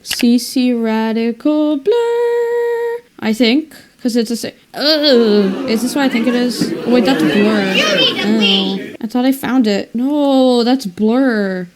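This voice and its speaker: A woman's voice: on the high side, expressive, takes it slowly, a faint crowd, slight background noise. A woman narrating her video editing in a casual, rambling way, thinking aloud and exclaiming to herself. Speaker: video editor and creator narrating her screen work.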